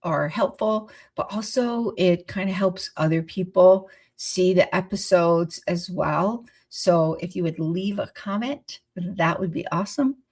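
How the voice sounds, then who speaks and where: Stuffy lady podcaster, lowish voice, takes her time, laughs a lot. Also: unhurried, darkish tone. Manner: chatty, laughs often, restarts phrases. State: stuffy from a cold. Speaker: podcast host, play therapist. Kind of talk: casual solo podcast talk.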